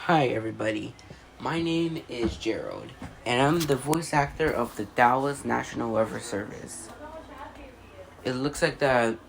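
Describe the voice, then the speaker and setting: An amateur voice actor reading a scripted mock emergency broadcast as an announcer, halting and stumbling with repeated phrases. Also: medium pitch, sing-song, creaky, rough recording.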